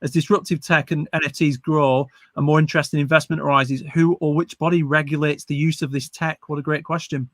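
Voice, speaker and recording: Higher-voiced man moderating, dry sound. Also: little pitch movement, a little fast, hardly any pauses, dry recording. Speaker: event moderator. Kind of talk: moderating a panel.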